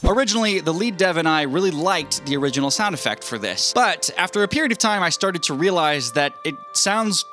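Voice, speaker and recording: A man's voice: on the high side, lively intonation, brisk, hardly any pauses, pushed and bright. A man in his thirties narrating a video in a jokey, self-deprecating way, with casual asides, close on a handheld mic. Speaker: YouTube host, game sound designer. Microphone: handheld microphone held near the mouth. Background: faint music.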